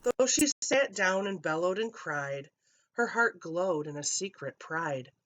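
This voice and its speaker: A woman storyteller reading a rhyming children's story aloud in an expressive way. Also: on the low side, measured pace.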